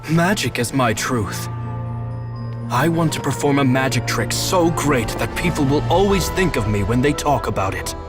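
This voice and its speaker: An actor playing a gentle, solemn magician mentor in a video game. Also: male voice, mid-range voice, expressive, moderate pace, music in the background, close to an everyday voice. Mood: wistful, reassuring. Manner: solemn, philosophical.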